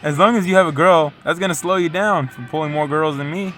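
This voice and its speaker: Man as higher-voiced goofy, boastful young guy. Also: fast, pushed and bright, soft background music. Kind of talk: audio fiction dialogue.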